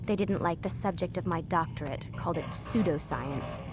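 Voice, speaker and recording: Young woman played by an actress, game scientist, earnest, resigned, halting confiding delivery, music behind. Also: lowish voice, lightly acted. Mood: reflective, confiding. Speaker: video game character, scientist. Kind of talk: naturalistic game dialogue.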